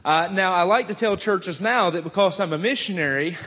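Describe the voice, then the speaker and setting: Southern preacher in a reverberant church. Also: male voice, high-pitched, a little fast, sharp tone. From Virginia, US. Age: 20s. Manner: folksy, frequent "uh" fillers, self-deprecating asides. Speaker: missionary preacher. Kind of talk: sermon introduction. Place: church sanctuary. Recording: long hall reverb.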